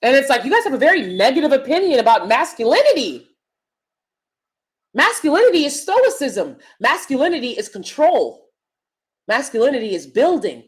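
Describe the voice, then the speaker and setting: A woman with a loud, projecting stage voice, giving emphatic opinion commentary as a vlogger and swinging between loud points and soft asides. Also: high-pitched, big pitch swings, normal speed.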